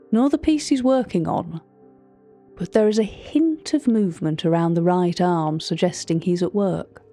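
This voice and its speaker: An anxious, confiding narrator in an audio mystery. Her voice sits a little low as she gives a wordy, hesitant account of strange events. Soft music plays behind her. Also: no room sound. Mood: measured, ominous. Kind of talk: audio drama monologue, recorded-tape account.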